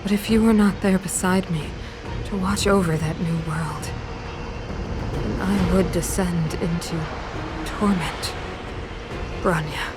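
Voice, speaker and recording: A woman's voice: fairly low pitch, fairly even intonation, takes it slowly, long pauses. A woman playing an imperious, fanatical mother and ruler turned villain in a video game, speaking in grandiose, theatrical oratory. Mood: anguished, commanding. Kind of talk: dramatic video game voice acting. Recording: orchestral game music behind voice.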